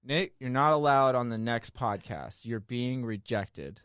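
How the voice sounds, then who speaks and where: A man's voice: medium pitch, unhurried, a bit bright, clean tone, no room sound, clean studio sound. A man co-hosting a casual podcast chat who laughs often between his lines. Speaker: podcast co-host.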